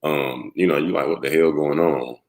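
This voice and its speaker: Interview guest, man, thirties, very low voice, relaxed and open. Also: moderate pace, creaky. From South Carolina. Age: 30s. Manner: relaxed, talks openly, breaks into laughs. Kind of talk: casual interview.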